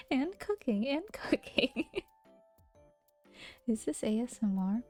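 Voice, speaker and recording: A woman streaming and chatting close to the mic, her voice soft and gentle and often dropping into a half-whisper. Her tone is mellow, and she teases playfully with frequent giggles. Quiet music plays faintly behind her. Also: on the high side. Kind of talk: casual chat stream. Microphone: close to a regular mic.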